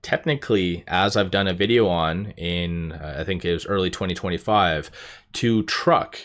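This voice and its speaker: A man giving informal investor commentary as a YouTube host, rambling as he thinks out loud. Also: low voice, normal speed. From Canada.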